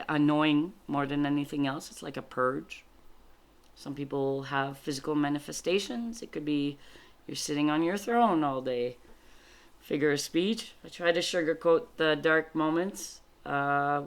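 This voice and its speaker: A woman with a fairly low voice, a native French speaker, talks through a spiritual card reading. She moves between reading aloud and informal commentary, reflecting as she goes in short, halting phrases. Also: a bit bright.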